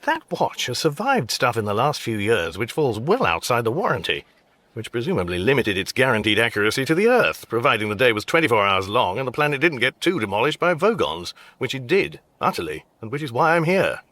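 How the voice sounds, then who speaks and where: A man's voice: mid-range voice, big pitch swings, a little fast, a bit bright, no room sound. An actor playing a fussy, exasperated middle-aged father with an English accent, dry and pedantic, in a radio comedy drama. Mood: wry.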